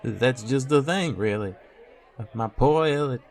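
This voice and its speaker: A man's voice: mid-range voice, unhurried, natural voice. A man playing a humble, distraught elderly village father with folksy rustic speech in a fantasy audio drama. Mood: worried, shaken. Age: older.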